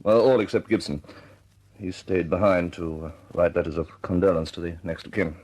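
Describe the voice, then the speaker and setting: British military officer, radio drama, deep bass-leaning voice, restrained, reflective, respectful of rank. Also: male voice, steady tone, moderate pace, a little mellow, slightly rough. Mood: sober, reflective. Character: British air force officer, deferential, reflective second-in-command.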